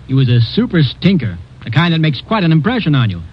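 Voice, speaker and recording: Husky, quick-talking earnest businessman. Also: fairly high pitch, very animated. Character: earnest, certain male expatriate businessman, ex-Navy. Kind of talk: 1950s radio drama acting. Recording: muffled old radio recording, faint music.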